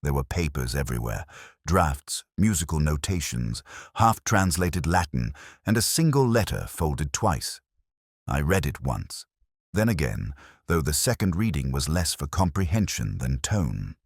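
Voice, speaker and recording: A man narrating a scripted first-person detective story in a measured, brooding, literary manner. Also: extremely low pitch, sing-song, breathy edge, no echo, studio quality.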